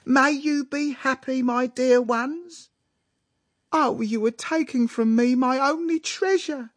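A man voicing a sentimental, flustered mother with tearful, drawn-out delivery in a story reading. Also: very high-pitched, fairly bright tone, dry recording, pitched above the performer's own voice, exaggerated voice. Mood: tearful joy.

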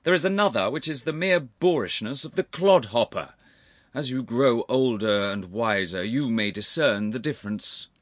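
A man's voice: medium pitch, very animated, normal speed, a bit bright, husky, no room sound, clean studio sound, pitched above the performer's own voice. A man voicing a haughty, irascible older aristocratic uncle in a storybook reading, stern and pompous, with cutting formal phrasing. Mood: severe, irritated. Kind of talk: audiobook character voice.

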